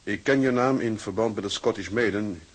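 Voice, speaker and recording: A man's voice: fairly low pitch, a little fast, husky, low-quality recording. Earnest working-class seaman. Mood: relieved, cheerful. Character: earnest young Dutch seaman husband, out of work, hopeful. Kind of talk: radio drama acting.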